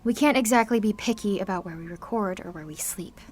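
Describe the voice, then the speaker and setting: A woman's voice: medium pitch, fairly quick, close to an everyday voice. A woman playing an anxious, guilt-ridden seventeen-year-old girl with superpowers in an audio drama, speaking in a confessional, sardonic, conversational way. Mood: somber, guilty.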